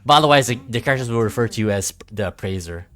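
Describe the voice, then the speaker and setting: A man giving casual live game commentary in a rambling, halting, low-key way. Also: expressive, fairly bright tone. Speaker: game streamer. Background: faint game music.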